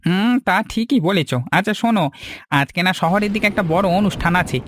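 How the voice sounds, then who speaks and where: A man's voice: sits high, brisk, fairly bright tone, slight background noise. A man voicing a sympathetic, reassuring village milkman with a gossipy manner in a cartoon story. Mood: relaying news.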